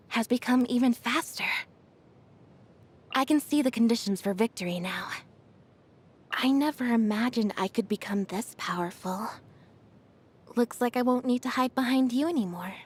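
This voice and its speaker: A woman voicing a reserved, observant young magician's assistant in a video game, understated and deadpan. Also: fairly high pitch, normal speed, long pauses, slightly rough. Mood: calm, matter-of-fact. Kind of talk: scripted video game character voice lines. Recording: music behind the voice. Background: music.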